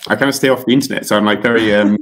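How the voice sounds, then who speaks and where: A man's voice: on the low side, steady tone, rapid-fire, a bit bright, slight background noise, low-quality recording. Rambling man on a video call. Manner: rambling, many fillers and restarts. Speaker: author guest. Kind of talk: casual interview chat. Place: home room with curtains.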